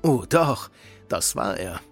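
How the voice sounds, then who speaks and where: Gentle widowed dad in kids audio play, raspy, really expressive melody, explaining patiently. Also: male voice, lowish voice, takes it slowly, soft background music, exaggerated voice. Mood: patient, earnest. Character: gentle widowed father explaining faith to children. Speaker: actor in a children's audio drama. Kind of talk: German children's radio play acting.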